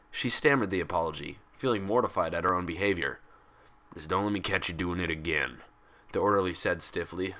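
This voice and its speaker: Low, bright-voiced man reading a story. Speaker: fan fiction reader. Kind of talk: expressive scripted story narration.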